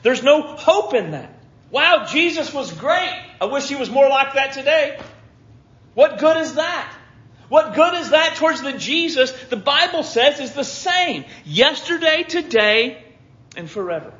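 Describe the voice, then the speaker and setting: A man's voice: very high-pitched, sing-song, a little slow, a bit bright, lo-fi sound. An American man preaching a sermon in a conversational way, repeating phrases for emphasis. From United States.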